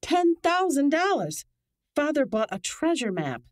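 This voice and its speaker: A woman's voice: high voice, very animated, unhurried, no echo, studio quality. A woman playing an earnest, aggrieved young client in an old-time radio drama, speaking emphatically.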